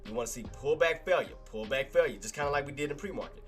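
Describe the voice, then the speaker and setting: A man's voice: mid-range voice, lively intonation, fast talker, a bit bright, soft background music. A man with a congested nose hosting a live trading stream, casually walking through chart levels and repeating key points to his chat. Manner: casual, repetitive, talks to chat as "boss". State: nasal congestion. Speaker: trading livestream host. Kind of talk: live stock chart commentary.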